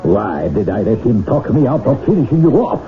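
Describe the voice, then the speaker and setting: An actor playing a menacing Italian gentleman murderer in a radio drama, formal and insinuating. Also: mid-range voice, big pitch swings, brisk. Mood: coldly vengeful. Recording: tinny old radio broadcast.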